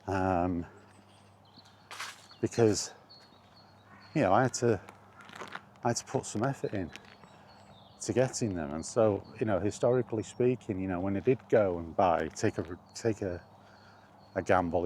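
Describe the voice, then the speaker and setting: A man's voice: deep voice, a little mellow, a little hiss or hum. A middle-aged British man casually rambling through personal memories, as in an informal vlog. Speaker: vlogger.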